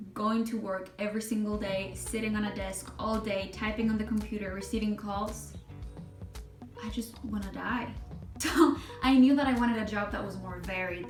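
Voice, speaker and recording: A young adult woman from Chile, a nursing vlogger, talking casually and expressively to camera, laughing often. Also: medium pitch. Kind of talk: casual vlog talk to camera. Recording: echoey room, mic at a distance.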